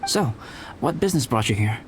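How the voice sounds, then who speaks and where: An actor playing a calm, cerebral young alchemist in a video game, speaking in a measured, articulate, formal way with polite aloofness. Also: male voice, mid-range voice, a little hiss or hum, close to an everyday voice. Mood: calm, matter-of-fact.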